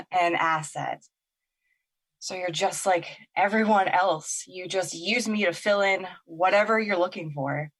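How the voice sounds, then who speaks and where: A woman's voice: fairly low pitch, a little slow, fairly bright tone. A woman acting in an audio drama as a resentful, skeptical young woman, plain-spoken and bitter. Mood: bitter, hurt.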